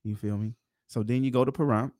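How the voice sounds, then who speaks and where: A man runs a relaxed interview, full of slang, teasing and ready laughs. His voice sits at an ordinary pitch for a man. The recording is close and dry. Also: brisk, no room sound. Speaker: podcast host. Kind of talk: casual interview chat.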